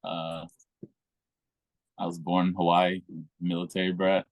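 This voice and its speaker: A man, the musical guest, answering interview questions in a low-key, hesitant way with fillers. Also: monotone, a little slow, no echo.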